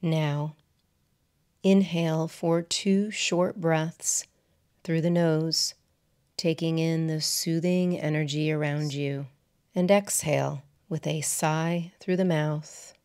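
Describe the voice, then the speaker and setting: A woman guiding a meditation as a yoga nidra teacher, in a soothing, measured manner with deliberate pauses between short phrases. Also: low-pitched, steady tone, darkish tone, smooth. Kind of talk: guided meditation narration.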